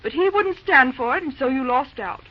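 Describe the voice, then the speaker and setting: A woman's voice: sits high, expressive, normal speed. A woman playing a frank, principled young daughter in an old-time radio drama, speaking articulately and with indignation. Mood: frank, scolding. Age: young adult. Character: outspoken, principled young daughter of wealthy family. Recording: dull old radio recording.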